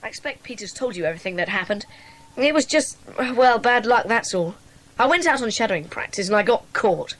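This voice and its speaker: A woman's voice: medium pitch, animated, fairly quick, natural voice, low-quality recording. A woman playing an earnest, polite schoolboy who protests his case in a dramatised children's audiobook. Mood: glum, resigned. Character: earnest, downcast schoolboy club member.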